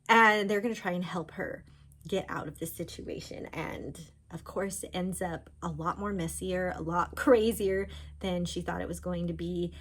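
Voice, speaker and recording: A woman author talking informally about books, in an animated storytelling way. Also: fairly low pitch. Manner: animated storyteller, voicing characters' thoughts in quotes. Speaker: author discussing own books. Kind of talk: chatty informal book talk.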